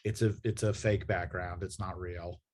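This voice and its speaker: A man's voice: low voice, level tone, moderate pace, darkish tone. A man hosting a casual promotional interview, speaking in an affable, chatty way. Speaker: interview host for a comics publisher.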